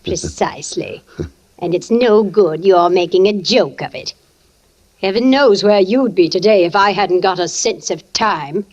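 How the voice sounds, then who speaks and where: Bossy, nagging, swooping-voiced wife. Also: female voice, mid-range voice, animated, a little slow, darkish tone. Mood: scolding. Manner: imperious, scolding, clipped commands. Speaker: radio drama actor. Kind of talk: 1940s radio suspense acting. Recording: muffled old radio recording.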